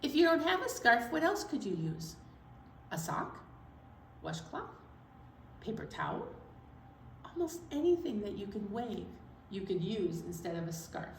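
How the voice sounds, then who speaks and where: A woman leading a children's story time, speaking to young children in an animated, encouraging way and asking them questions. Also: medium pitch, unhurried, pauses often. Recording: roomy echo, distant mic, faint noise.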